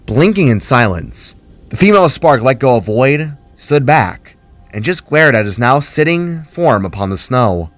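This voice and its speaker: A man's voice: mid-range voice, big pitch swings, a little slow, no echo. A man reading his own fantasy story aloud as dramatic scripted narration.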